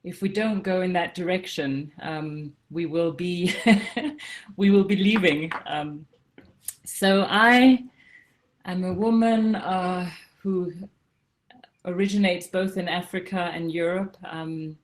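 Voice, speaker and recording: A woman from Denmark and Zimbabwe, speaking as a webinar guest over a video call in a slow, reflective way with many pauses and ums. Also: lowish voice.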